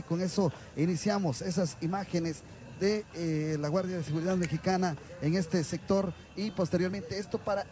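A man's voice: high voice, mellow. A man reporting live from outdoors at night, hesitating often with filler sounds. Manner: halting, many "eh" fillers. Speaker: field reporter. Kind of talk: live field report and interview. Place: outdoors at a border town at night.

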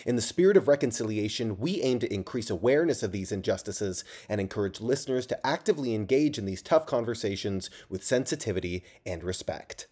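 Man with lively, swooping delivery. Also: on the low side, a little fast, hardly any pauses, breathy edge. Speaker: podcast series announcer. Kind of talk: scripted introduction.

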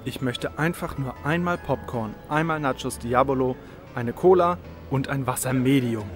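A man's voice: medium pitch, normal speed, soft background music, natural voice. A man playing a young, impatient cinema customer, exasperated and clipped, in a comedy cartoon sketch. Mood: polite, strained. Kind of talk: comedy audio sketch acting.

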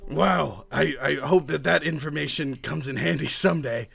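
A man's voice: fairly high pitch, moderate pace, vocal fry, fairly bright tone. A man improvising casually as a player in a tabletop game. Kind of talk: casual improvised role play. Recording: music behind.

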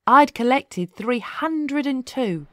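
A woman narrating rhyming children's verse in an expressive, playful, deliberate storytelling manner. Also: high-pitched, no echo, clean studio sound.

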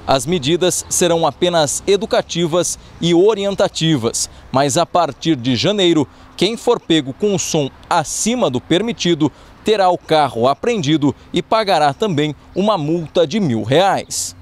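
Higher-voiced young male reporter. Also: lively intonation, normal speed. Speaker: field reporter. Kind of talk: news report to camera. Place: outdoors in front of a building on a town street. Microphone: handheld reporter microphone.

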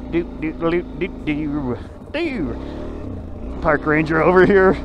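A man's voice: fairly high pitch, huge pitch swings, slow, gravelly, muffled recording. A young man on a motorcycle, joking and swearing as he talks through a ride on a mountain road, recorded by a helmet camera. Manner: profane, joking, rambling. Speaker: motovlogger. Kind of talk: casual helmet-cam commentary while riding. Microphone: helmet camera mic. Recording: wind and engine noise behind.

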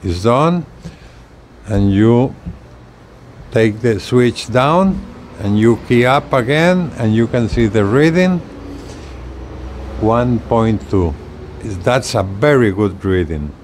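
An older man giving a casual, hands-on product demonstration with halting, unpolished delivery, recorded outdoors on a porch. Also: medium pitch, animated, a little mellow. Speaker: video creator demonstrating radio gear. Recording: outdoor ambient noise.

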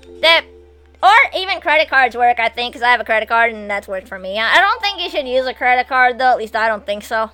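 A woman in her twenties chatting casually as she live streams a game, excitable and foul-mouthed. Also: fairly high pitch, fast talker. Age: 20s. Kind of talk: casual gameplay commentary and chat with viewers. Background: video game music and sound.

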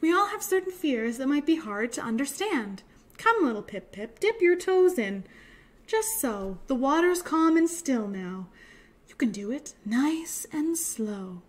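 A woman voicing a gentle, reassuring mother penguin in a storybook, tender and coaxing. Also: high voice, very animated, unhurried. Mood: soothing. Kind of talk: children's storybook read aloud.

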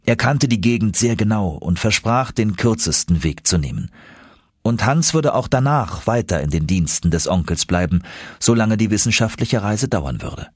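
Low, husky male audiobook narrator. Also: lively intonation, normal speed, dry recording, clean studio sound. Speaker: audiobook reader. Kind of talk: scripted first-person audiobook narration of an adventure novel.

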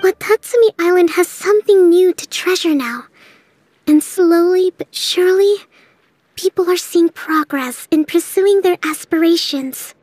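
A woman playing a composed, earnest young priestess leader, soft-spoken, in acted video game dialogue. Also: very high-pitched, a little slow, no room sound. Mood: calm, hopeful.

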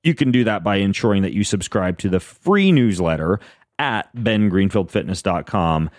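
Low, dry-voiced male podcast host. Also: moderate pace, no room sound. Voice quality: self-described monotone. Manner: rambling, lists things off, frequent fillers and restarts. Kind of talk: casual podcast chat.

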